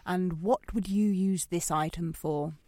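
A woman's voice: on the low side, measured pace, dark tone, lightly acted. An actress playing a composed, probing woman who quizzes and judges her date with pointed questions in a naturalistic audio drama. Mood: brisk, testing.

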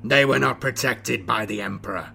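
Man acting gravelly, hissing corrupted old inquisitor. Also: mid-range voice, sharp tone, faint music behind, full character voice. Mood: proud, insistent. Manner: slow, deliberate, grandiose delivery. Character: scarred, corrupted older inquisitor, hissing, proud and scornful.

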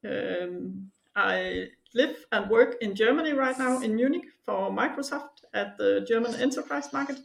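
A woman's voice: fairly high pitch, measured pace, vocal fry, hardly any pauses, rough recording. A middle-aged woman from Denmark, a finance specialist, talking in a casual interview with many fillers.

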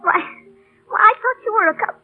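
A woman's voice: very high voice, brisk, soft background music. An actress playing a spirited, slangy young American woman in a 1930s radio drama, on a muffled vintage recording. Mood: excited, relieved. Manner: feisty, slangy, plucky.

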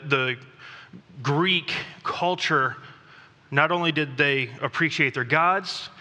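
A man who grew up in Thailand, preaching a sermon in a conversational, self-deprecating way with pauses and restarts. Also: fairly high pitch, bright, forceful tone. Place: church sanctuary. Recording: long reverb of a church hall.

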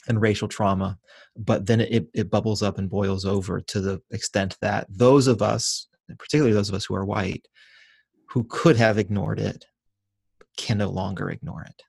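Earnest man, low and dry. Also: vocal fry, darkish tone, breathy edge, dry recording. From grew up in Venezuela and Ecuador. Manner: earnest, measured and reflective. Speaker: pastor and author, guest. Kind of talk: podcast interview conversation.

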